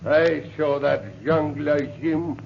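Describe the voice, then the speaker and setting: Gravelly, boastful French henchman, broken English, old radio serial villainy, muffled noisy recording. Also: a little high, little pitch movement, extremely slow, faint music behind, full character voice. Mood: boastful menace. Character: brutish, boastful French overseer henchman, eager for revenge. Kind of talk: 1930s radio serial acting.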